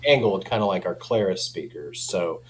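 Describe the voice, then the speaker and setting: A man speaking as a company representative in a casual product chat, informal and hedging, quick to chuckle. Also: deep voice, expressive, crowd noise.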